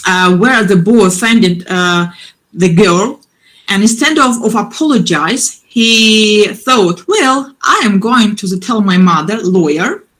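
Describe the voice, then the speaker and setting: A woman's voice: mid-range voice, fairly bright tone, poor audio. Hesitant woman giving a conference talk. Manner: halting, with frequent restarts and fillers. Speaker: conference speaker.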